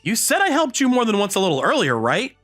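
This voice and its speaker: An actor playing a modest, bashful high school boy who narrates and speaks in an earnest manga dub style. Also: huge pitch swings, quick pace, fairly bright tone, faint music behind, exaggerated voice. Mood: explaining.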